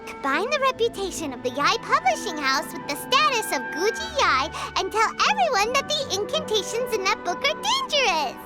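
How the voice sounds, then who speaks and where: A woman voicing a tiny, excitable floating fairy companion who talks about herself in the third person, in a video game. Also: extremely high pitch, normal speed, hardly any pauses. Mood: excited. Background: game music.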